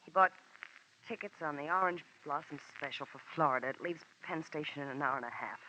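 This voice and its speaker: A woman's voice: low voice, brisk, sharp tone, a little hiss or hum. An actress playing a scared but defiant woman, evasive and defensive, in a 1950s radio drama. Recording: dull lo-fi old radio recording, off-mic.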